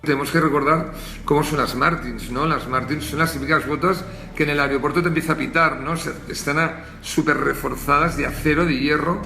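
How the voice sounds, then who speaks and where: A man's voice: on the high side, steady tone, fairly quick, creaky, pushed and bright. A male activist spokesman explaining emphatically and conversationally in an interview. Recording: roomy echo, faint background noise.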